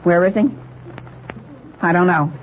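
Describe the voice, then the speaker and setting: A man's voice: high voice, unhurried. An actor playing a flustered, pleading teenage boy in an old radio comedy, stammering as he protests. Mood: pleading, protesting. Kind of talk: 1940s radio comedy acting. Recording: noisy, tinny old radio broadcast.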